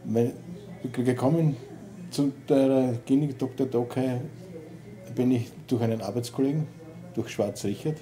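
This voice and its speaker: Middle-aged man from Lower Austria, very dark mellow voice, patient testimonial, faint music. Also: steady tone, a little slow. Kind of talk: interview testimonial. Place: office, indoors.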